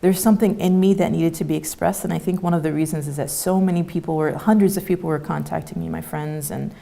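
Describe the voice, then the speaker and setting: A woman in her thirties who grew up in Canada, speaking as an interview guest in a soft, halting way with many fillers. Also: deep voice, fairly even intonation, gravelly. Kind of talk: conversational interview.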